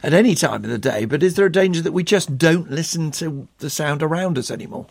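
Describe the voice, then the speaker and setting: A man's voice: a little high, lively intonation, fast, gravelly, dry recording, clean studio sound. A man presenting live radio news, interviewing a guest with a wry, teasing manner.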